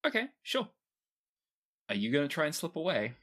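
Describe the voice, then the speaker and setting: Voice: medium pitch, sing-song, moderate pace, a bit bright. A game master improvising narration for a tabletop game in a conversational, descriptive way.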